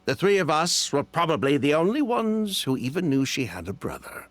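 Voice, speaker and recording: An actor playing a weary, bitter former convict in a video game, telling things with resigned drama. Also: male voice, fairly high pitch, very animated, normal speed, dry recording. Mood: explaining.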